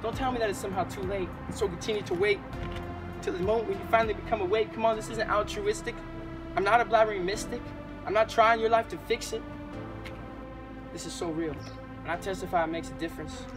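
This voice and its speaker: A man's voice: high voice, fast talker. A man in his twenties performing rhymed spoken word poetry with a rhythmic, earnest and emphatic delivery. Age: 20s. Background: music bed.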